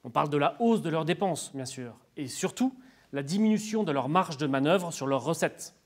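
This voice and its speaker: A man's voice: a little high, lively intonation, normal speed. A man giving formal, didactic explanations as an expert in an interview, in structured, prepared sentences.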